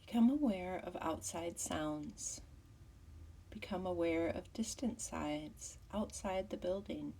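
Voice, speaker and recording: A woman teaching yoga nidra as a guided meditation, with a soft, breathy voice and soothing, measured instruction. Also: on the low side, recorded from a distance.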